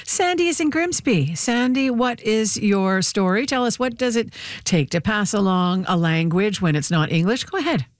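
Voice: medium pitch, lively intonation, moderate pace, few pauses. A radio host introducing callers and asking questions, with frequent fillers. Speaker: radio call-in show host. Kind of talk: hosting a call-in radio show.

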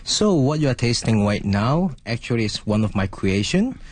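A man, a guest chef, lays out his recipe step by step in an earnest, explanatory way. His voice sits at a middling pitch, and the sound is dry and close. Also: sing-song, normal speed, no room sound. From Hong Kong. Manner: earnest, explanatory, with small grammar slips. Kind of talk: explaining a recipe in a studio interview.

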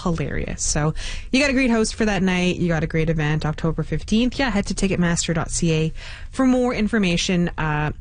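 A woman's voice: lowish voice, lively intonation, a little fast. Upbeat woman radio host. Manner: upbeat, promotional. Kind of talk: casual on-air chat. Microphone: studio microphone.

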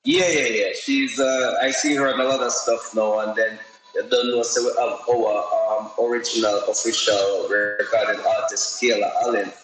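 A man with a lowish voice speaks haltingly, stacking fillers as he names artists. The sound is roomy, and music plays clearly underneath him. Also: little pitch movement, a bit bright. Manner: hesitant, many fillers and restarts.

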